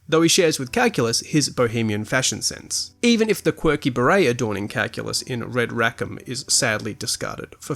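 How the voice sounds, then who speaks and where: Male podcast narrator, mid-pitched and expressive, articulate scripted essay delivery with dry asides. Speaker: podcast host.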